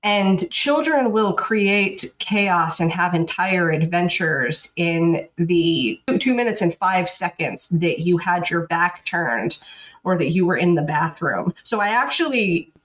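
A woman in her thirties co-hosting a podcast, talking in a flat, monotone, dryly sarcastic way. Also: on the low side, slow, low-quality recording. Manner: dry, sarcastic. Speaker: podcast co-host. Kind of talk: casual chat.

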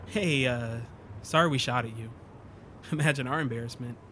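A man's voice: mid-range voice, moderate pace, pushed and bright, slight background noise, close to an everyday voice. A man playing a chatty, friendly scientist in an audio drama, with a casual, joking delivery.

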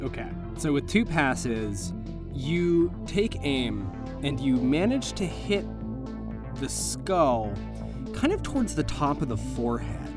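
A man's voice: mid-range voice, sing-song, slow pace. A man narrating an improvised tabletop game as game master, casual and vivid in his describing. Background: music.

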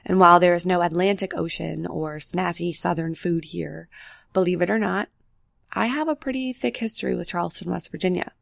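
A woman's voice: lowish voice, gravelly, no echo, clean studio sound. A young woman hosting a solo podcast, talking candidly and unhurriedly about her life, a bit nasal from the flu. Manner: candid, unhurried, personal storytelling. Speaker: podcast host. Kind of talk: solo reflective podcast talk. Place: apartment with road noise outside.

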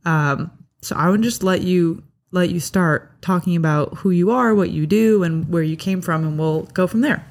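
A bubbly young woman hosts a podcast in an easy, chatty way, full of little fillers as she talks. Her voice sits a touch low for a woman. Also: normal speed, darkish tone. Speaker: podcast host. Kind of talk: casual family chat.

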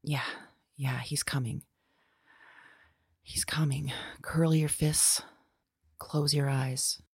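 A woman playing a frightened, lonely, tender woman resigned to doom in an audio drama, speaking haltingly and hushed, sometimes whispering. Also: deep voice, steady tone, gravelly, slightly rough, dry recording, full character voice. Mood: urgent, fearful. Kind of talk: intimate audio drama monologue.

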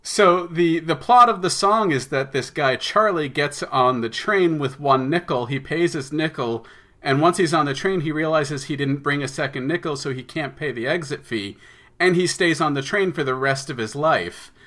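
A man's voice: on the high side, normal speed, a bit bright. A man speaking as an interview guest, explaining and telling stories in a conversational way. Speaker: podcast guest, audio drama writer.

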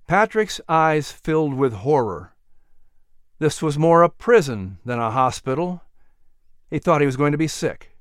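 Clear, smooth male storyteller. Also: on the high side, animated, unhurried, no echo, studio quality. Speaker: audiobook narrator. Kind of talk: dramatic scripted children's story narration.

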